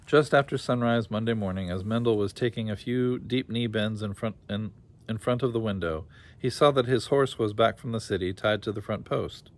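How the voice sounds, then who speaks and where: A man reading a children's story aloud as an expressive storyteller. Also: lowish voice, moderate pace.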